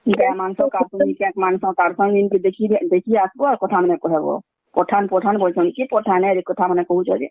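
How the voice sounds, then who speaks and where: A woman's voice: medium pitch, steady tone, moderate pace, no room sound. A middle-aged woman, insistent and repetitive, defending herself on a recorded phone call. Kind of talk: heated phone argument in colloquial speech.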